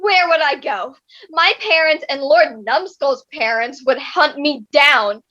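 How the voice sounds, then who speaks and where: A woman's voice: high voice, slow, sharp tone, dull audio, heavily acted. A woman playing a bitter, sarcastic young wife in a comic staged reading, with melodramatic exasperation. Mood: despairing.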